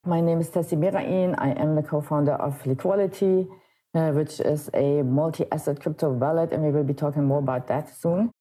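A woman in her thirties from Germany, answering interview questions in a measured way with frequent pauses. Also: low-pitched, steady tone, dark tone. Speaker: crypto wallet co-founder, guest.

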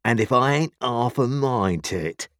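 A man voicing a brutal escaped convict in an audiobook, with a rough growl and threatening, rustic working-class speech. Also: medium pitch, lively intonation, unhurried, a bit bright, no room sound, clean studio sound, pitched above the performer's own voice. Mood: menacing, greedy.

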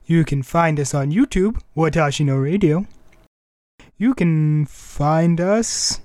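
A man with a fairly high voice hosts a podcast in a casual, conversational way. The sound is dry and close, and his delivery is informal, a bit halting when he improvises. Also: darkish tone, no echo. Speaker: podcast host.

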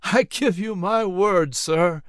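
A man voicing a humble, polite young man with an earnest, slightly halting delivery in an audiobook story. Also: high voice, clear, resonant tone, no echo, pitched above the performer's own voice. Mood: grateful. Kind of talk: audiobook character dialogue.

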